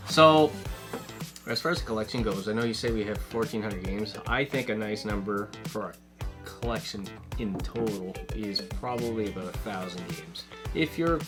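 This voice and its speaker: A man in his forties co-hosting a casual chat, laid-back, with quick agreeing asides. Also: on the low side, over music. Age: 40s. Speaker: co-host of a retro gaming channel.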